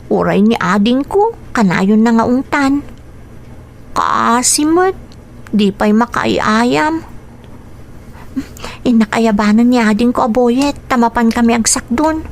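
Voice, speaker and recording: A woman's voice: fairly high pitch, animated, takes it slowly, long pauses, a little hiss or hum. A woman playing a dutiful, weary young girl with a respectful, plaintive way of talking in a radio drama. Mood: aggrieved, weary. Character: dutiful, weary young girl living under her uncle's roof.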